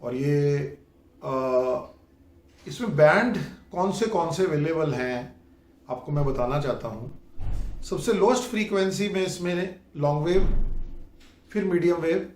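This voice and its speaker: A man, speaking deliberately and insistently as he presents a demonstration vlog and mixes in English terms. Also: on the high side, darkish tone, a little hiss or hum. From India. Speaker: radio enthusiast YouTuber and presenter. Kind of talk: demonstration vlog addressed to officials. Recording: roomy, echoing voice recording.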